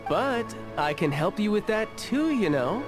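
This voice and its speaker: A young video game schemer with a fairly high voice. He is measured, knowing and theatrical, with a teasing edge. Faint music plays underneath. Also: very animated. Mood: amused, sly. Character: smooth, teasing, scheming young male agent of a shadowy organisation.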